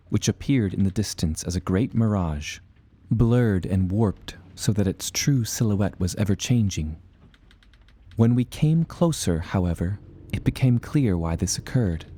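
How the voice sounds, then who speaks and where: An earnest adventurer recounting his voyage. The man's voice is deep and mellow, with measured, formal phrasing suited to dramatic audio storytelling. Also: dry recording. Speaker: narrator and protagonist. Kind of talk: scripted first-person adventure narration.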